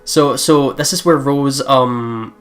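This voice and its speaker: A man's voice: medium pitch, steady tone, slow pace, faint music behind. A man hosting a let's play, commenting and reading the story text aloud. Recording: clearly noisy background.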